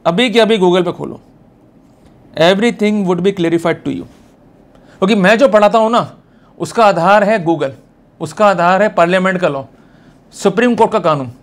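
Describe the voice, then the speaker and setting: A man with an Indian accent and a notably high voice teaches like a lawyer at the front of a class. He explains informally but with emphasis, putting questions straight to his listeners. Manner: emphatic, addresses listeners directly with checking questions. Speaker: lawyer giving law lectures.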